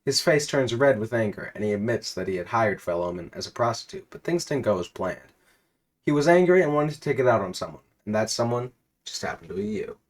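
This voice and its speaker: Man, fairly low pitch, storyteller, dramatic delivery, comedic second-person narration. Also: animated, brisk, rough recording.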